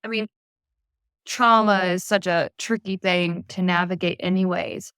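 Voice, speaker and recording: Smooth-voiced woman podcast host. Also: on the low side, steady tone, a little slow, fairly bright tone, no echo, studio quality. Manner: attentive, frequent listening murmurs. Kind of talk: interviewing.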